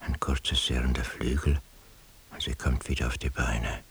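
An actor playing a weary, anguished, sleepless man in a radio drama monologue, morose and minutely observant, in a breathy, half-whispered, husky voice. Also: very deep voice, flat delivery, moderate pace, full character voice. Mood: morbid, absorbed.

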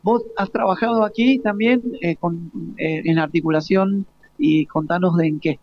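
A man's voice: high voice, normal speed, no echo. An Argentine man hosting an informal live radio interview in a hesitant, jokey way. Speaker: radio host. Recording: slightly dull, lo-fi live radio.

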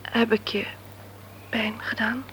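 A breathy, remorseful young woman. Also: on the high side, flat delivery, slow, a bit bright, a little hiss or hum. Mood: regretful. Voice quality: breathy half-whispered delivery. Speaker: young woman ending a romance. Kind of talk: radio drama acting.

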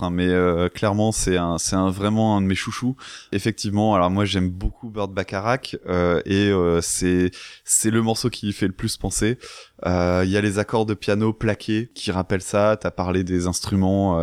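A man's voice: on the low side, a little mellow, clean tone, no echo, clean studio sound. A man co-hosting a music podcast, giving an enthusiastic, casual album review with hesitant phrasing.

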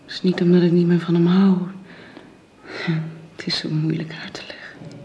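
An actress playing a devoted, earnest young woman in a radio drama, gentle, hushed and hesitant. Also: lowish voice, flat delivery, normal speed, lightly acted. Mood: earnest, troubled. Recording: dull radio drama recording, faint noise.

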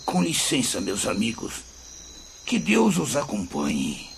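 An actor playing a humble, devout country labourer and father in a radio drama, with a rustic Brazilian accent and warm folksy manner. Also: fairly low pitch, huge pitch swings, takes it slowly, vocal fry, exaggerated voice. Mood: kindly, devout. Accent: rural Brazilian. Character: humble, devout rural labourer, kindly father spirit visitor. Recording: faint noise with crickets behind.

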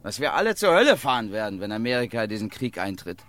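A man's voice: on the low side, very animated, fast, fairly bright tone, a little hiss or hum. A man playing a bitter, grumbling war-veteran newspaper reporter in a radio drama. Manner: muttering, then indignant.

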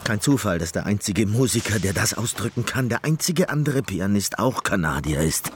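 A man's voice: on the low side, expressive, normal speed, noisy background, close to an everyday voice. An actor playing a reclusive, neurotic Canadian pianist in a radio drama, brooding and repeating his phrases compulsively. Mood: musing, intense.

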